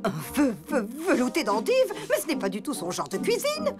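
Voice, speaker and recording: A woman's voice: high-pitched, wildly swooping pitch, normal speed, loud music behind the voice. A woman voicing a fussy, snobbish cartoon mother with an affected manner.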